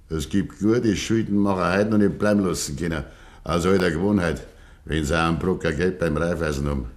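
A man acts an older Bavarian farmer in a vintage radio drama. The voice sits low. He speaks in terse, brooding phrases, proud and stubborn. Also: close to an everyday voice. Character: proud, stubborn old farmer, waiting up late. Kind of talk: Bavarian dialect radio play acting, 1950s.

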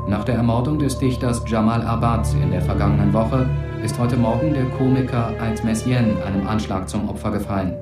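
Man doing sober radio news announcer, measured, far off and roomy, music playing. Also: lowish voice, close to an everyday voice. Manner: measured, formal. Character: sober male radio newsreader. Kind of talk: formal news reading within radio drama. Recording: distant, echoey, music behind.

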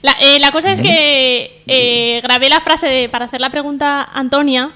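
A woman's voice: high voice, normal speed, sharp tone. A woman, chatting casually as a contributor on comedy radio. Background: faint music.

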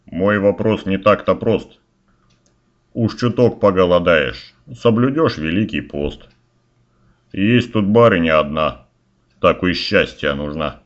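Man acting cheeky peasant fool, low pitch, slow folksy rhymes, fairy-tale audio play, dull sound. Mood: cheeky challenge.

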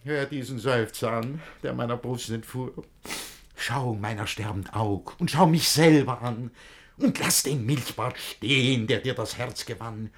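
An actor playing a jealous, lovesick older guardian in a German radio verse comedy, theatrical, blustering and pleading. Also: male voice, medium pitch, measured pace. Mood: desperate pleading. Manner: theatrical, swinging from outrage to hushed pleading.

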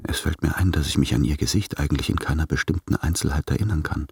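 A man's voice: extremely low pitch, quick pace, slightly rough, heavily acted. A man playing a courteous, self-doubting, tender writer, reading his letters in a formal, hesitant, introspective way for a radio play. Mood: brooding.

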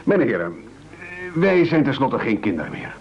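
An actor playing a formal, commanding castaway gentleman in an absurdist radio play, measured and over-polite. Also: medium pitch, sing-song. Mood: solemn, persuasive. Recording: dull lo-fi old radio recording.

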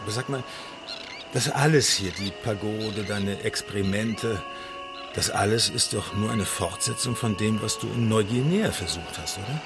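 A man's voice: on the low side, moderate pace, lightly acted. An actor playing a blunt, insistent private investigator who questions his client in a German radio crime drama. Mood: needling, skeptical. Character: blunt, probing male private investigator, needling and businesslike. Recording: studio radio play with music underneath. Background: music.

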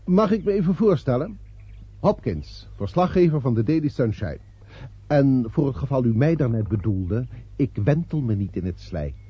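An actor playing a brisk, politely sceptical newspaper reporter in a radio play, businesslike and wry. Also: male voice, mid-range voice, sing-song, slightly rough, no echo. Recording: dry, dull old radio play recording.

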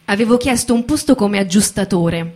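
Woman voicing ex-factory worker, medium pitch, first-person testimony, stage reading, reminiscing. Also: expressive, normal speed, dark tone, close to an everyday voice. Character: male former car factory worker recalling his youth.

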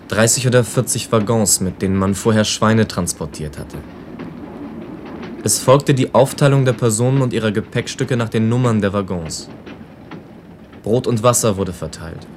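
A man's voice: fairly low pitch, normal speed, slight background noise. A man giving a sober, descriptive reading of literary memories for a radio documentary. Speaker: narrator.

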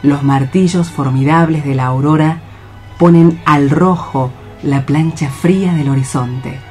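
A narrator reading scripted literary stage descriptions for radio theatre in a measured, declamatory way. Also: mid-range voice, fairly even intonation, a little mellow. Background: music.

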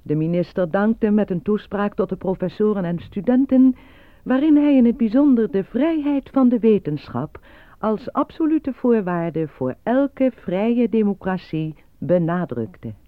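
A woman acting as a formal newsreader in a radio play. Her mid-pitched delivery is measured and impassive. The sound is very dry and narrow, like an old receiver. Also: animated, no room sound, natural voice. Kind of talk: scripted news bulletin within radio drama.

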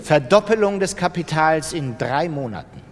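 A man's voice: a little high, animated, normal speed, faint music behind. A man giving a scripted reading of classified ads as part of a radio drama. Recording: long reverb, noisy background.

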